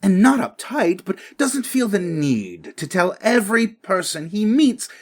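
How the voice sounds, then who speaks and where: An actor playing a precise, haughty tech schemer with a prim, formal, condescending manner in a comedy audio drama. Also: male voice, sits high, lively intonation, measured pace. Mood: smug.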